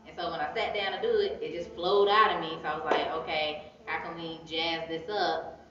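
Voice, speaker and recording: A woman with an American accent chatting informally as an interview guest, telling stories in a casual, animated way. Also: sits low, brisk. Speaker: interview guest, poet and author. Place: indoor arts center room, seated. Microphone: phone camera a few metres away. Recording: echoey room, distant muffled phone-camera sound, chatter.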